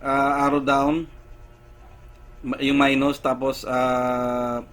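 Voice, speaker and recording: Man explaining a gadget fix, unhurried. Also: medium pitch, flat delivery, fairly bright tone. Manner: unhurried, explains step by step with many fillers. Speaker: tech vlogger. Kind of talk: casual how-to demonstration.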